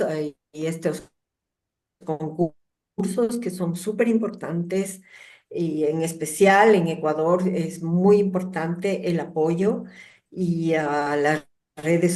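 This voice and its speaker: A woman's voice: fairly low pitch, a little mellow, roomy sound. A woman giving reflective remarks about a writing contest, thoughtful with hesitant restarts.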